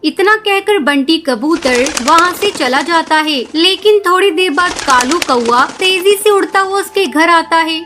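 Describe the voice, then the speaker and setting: Very high-pitched woman storyteller. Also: expressive, unhurried, few pauses, background noise. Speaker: narrator. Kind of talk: scripted children's story narration.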